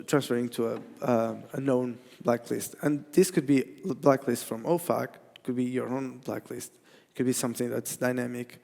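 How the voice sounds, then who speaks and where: Gravelly-voiced man with a Portuguese accent. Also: medium pitch, darkish tone. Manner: halting, many restarts and fillers. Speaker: conference speaker. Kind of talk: technical conference talk. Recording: reverberant hall.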